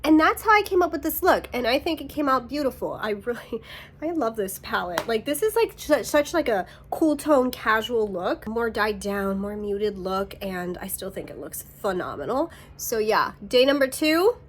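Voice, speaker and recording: A young woman with a slightly nasal voice chatting casually through a makeup tutorial, upbeat and sometimes dropping to a soft whisper. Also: a little high, lively intonation, normal speed, breathy edge. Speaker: beauty vlogger.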